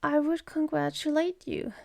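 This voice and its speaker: A woman voicing a jaded, scornful, seductive demoness in an ASMR roleplay, with a sardonic manner that slips into breathy whispers. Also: high voice, level tone, unhurried, darkish tone, no echo, clean studio sound. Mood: bitter, resentful.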